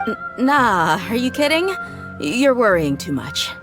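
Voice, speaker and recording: A woman's voice: a little high, very animated, slow. An actress playing a tough, easygoing adult woman mercenary who talks in a casual, laid-back way. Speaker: mercenary bodyguard. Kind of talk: game cutscene voice acting. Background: game music.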